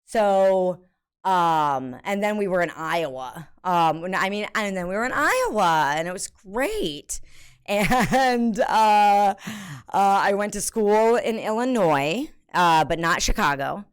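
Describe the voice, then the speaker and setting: A woman's voice: mid-range voice, lively intonation, slow pace, clean tone. An American woman streamer in casual live chat, rambling with many ums and self-mocking laughter. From United States.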